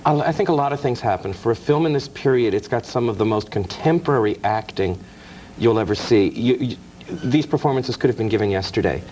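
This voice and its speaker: A man's voice: medium pitch, lively intonation, brisk, darkish tone, a little hiss or hum, poor audio. A man, a guest author, explaining film history in detail in an interview, with small restarts. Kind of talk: interview talk. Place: TV studio.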